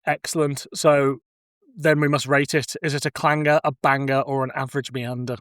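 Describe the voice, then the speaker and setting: A man's voice: mid-range voice, level tone, normal speed, fairly bright tone, no echo, clean studio sound. A man co-hosting a podcast in a casual, conversational review style. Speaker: podcast co-host.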